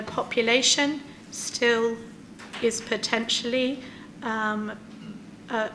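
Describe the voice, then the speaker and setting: A woman's voice: on the high side, pushed and bright, slight background noise, poor audio. A woman presenting a report at a formal meeting, careful and hesitant, with frequent fillers. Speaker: clinical lead presenting a report.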